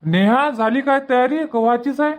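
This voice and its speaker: A man's voice: very high-pitched, normal speed. A man voicing a practical village uncle in a cartoon family comedy, speaking rural Varhadi Marathi. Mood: hurrying, practical.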